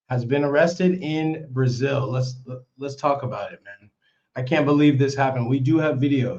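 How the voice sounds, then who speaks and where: Hoarse man hosting a live stream. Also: on the high side. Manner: excited, repetitive, chatty. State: hoarse, voice worn out. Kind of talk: casual live stream commentary. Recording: slightly dull lo-fi stream audio.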